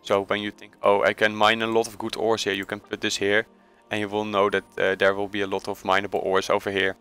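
A man with a Dutch accent walks through a game tutorial in a low, flat, bright voice. He speaks haltingly, filling pauses with "uh" and correcting himself mid-phrase. Soft music plays behind him. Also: smooth. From Netherlands. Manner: hesitant, many uh fillers and self-corrections. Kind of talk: casual screen-recorded tutorial voice-over.

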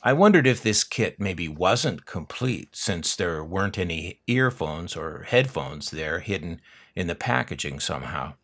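An older man with an American accent narrates in a fairly low voice that rises and falls dramatically. His delivery is wry and nostalgic, like a prepared script for an unboxing video, with dry asides. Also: very animated, a little slow, fairly bright tone. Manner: wry, dryly humorous. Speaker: host of a collector's unboxing channel.

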